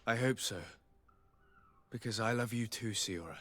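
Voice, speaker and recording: An actor playing a sincere, reserved young noble diplomat in a video game, speaking politely and formally. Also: male voice, mid-range voice, normal speed, a bit bright, no room sound, natural voice. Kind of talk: video game dialogue acting.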